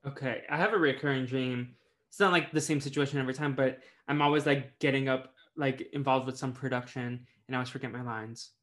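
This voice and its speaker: Young male podcast co-host, mid-pitched, halting and filler-heavy, trails off at ends. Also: fairly even intonation, fairly bright tone. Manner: hesitant, full of "um" and "like", trailing off. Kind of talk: casual chat.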